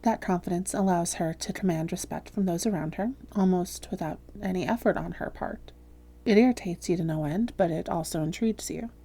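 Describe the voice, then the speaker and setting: A woman playing a calm female vampire therapist in a roleplay audio, speaking softly, gently and in a measured, reassuring way. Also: fairly low pitch. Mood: calm, probing. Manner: measured, gentle, reassuring, breathy hushed phrases. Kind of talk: soft-spoken roleplay audio, therapist addressing listener.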